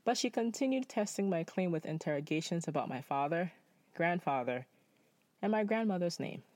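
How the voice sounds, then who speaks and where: A young man from Nepal telling a personal story in spoken-word style, measured and reflective. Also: high voice, lively intonation, a little mellow, no echo.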